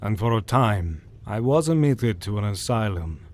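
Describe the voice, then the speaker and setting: An actor playing a learned doctor who hunts vampires, speaking in a measured, earnest way in an audio drama. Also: male voice, lowish voice, expressive, close to an everyday voice. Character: learned older male doctor, vampire hunter.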